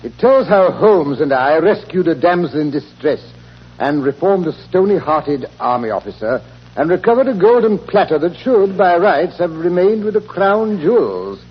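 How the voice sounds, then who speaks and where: Fussy British gentleman, amiable bumbler, indignant grumbling, animated intonation, old radio drama, dull noisy recording. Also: a little high, normal speed, breathy edge. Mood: genial storytelling. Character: Doctor Watson, grumbling, bumbling, amiable English gentleman sidekick. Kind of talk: 1940s radio acting.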